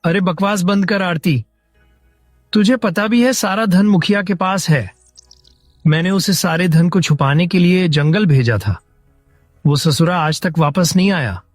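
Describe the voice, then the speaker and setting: A man playing a gruff, scheming village husband in a cartoon story, irritable and coarse. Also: sits high, measured pace, dry recording, studio quality, faint music behind. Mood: angry, bitter.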